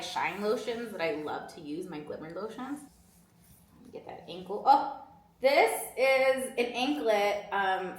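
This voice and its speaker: A woman, chatting casually to camera in the early morning, relaxed and quick to laugh, with a stuffy, sniffly nose. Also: on the high side, echoey room. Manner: chatty and relaxed, laughs often, drifts off mid-sentence. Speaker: vlogger. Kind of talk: casual vlog chat to camera. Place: hotel room in the early morning.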